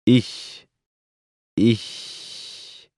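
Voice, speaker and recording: A man reading words for a language lesson, saying each one twice, slowly and deliberately. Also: a little mellow, dry recording, studio quality. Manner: says each word twice, slow and deliberate. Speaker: German pronunciation speaker. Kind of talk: slow pronunciation drills.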